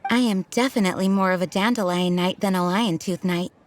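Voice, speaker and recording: Game casting: woman playing earnest young knight, medium pitch, sincere and formal. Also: fairly quick, a little hiss or hum, lightly acted. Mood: sincere, reflective. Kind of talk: video game dub acting.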